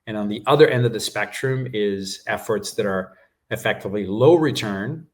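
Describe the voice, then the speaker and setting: A man whose first language is Portuguese, calmly explaining his work as a guest in a business interview, with frequent fillers. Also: on the low side. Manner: measured explanations with frequent "uh" and "right?". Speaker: guest executive.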